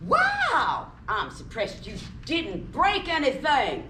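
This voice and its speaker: A woman's voice: high-pitched, wildly swooping pitch, slow pace, heavily acted. A woman playing a bragging, snobbish young rich skateboarder in an amateur play reading. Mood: boastful. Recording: echoey room with faint noise.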